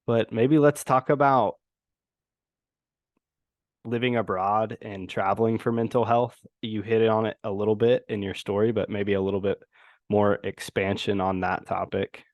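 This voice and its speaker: Low-voiced male podcast host, dry sound. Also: fairly even intonation, moderate pace, dry recording. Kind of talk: interview questions.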